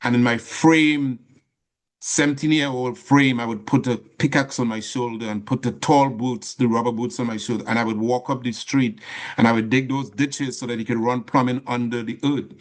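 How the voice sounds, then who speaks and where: A middle-aged man from Trinidad with a Trinidadian accent, giving an earnest personal talk as a guest speaker, stressing phrases slowly and restarting often. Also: mid-range voice.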